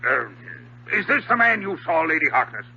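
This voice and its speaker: An actor playing a huge, domineering old ship's captain in a 1950s radio drama, stern, formal and commanding. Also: male voice, on the high side, very animated, normal speed, sharp tone, full character voice. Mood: brusque. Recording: dull, noisy 1950s radio recording, hum.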